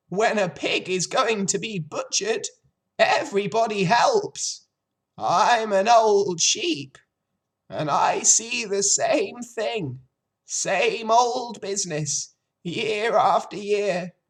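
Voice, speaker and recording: A woman's voice: medium pitch, animated, slow, breathy edge, pitched above the performer's own voice. A woman voicing a blunt, snappish old female sheep in a storybook, grimly knowing and emphatic. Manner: blunt, emphatic, matter-of-fact. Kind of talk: storybook character voice.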